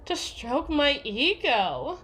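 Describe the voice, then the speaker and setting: A woman's voice: sits high, very animated, slow pace, fairly bright tone, heavily acted. A woman playing a domineering, jealous yandere with biting sarcasm and a taunting, theatrical manner in an ASMR roleplay. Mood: mocking, sly. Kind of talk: ASMR roleplay audio drama.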